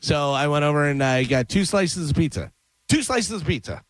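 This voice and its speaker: A middle-aged man from Chicago hosting a radio show, telling animated stories and reading headlines between jokes. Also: a little high, moderate pace. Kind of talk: casual morning radio banter. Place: radio studio. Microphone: studio microphone.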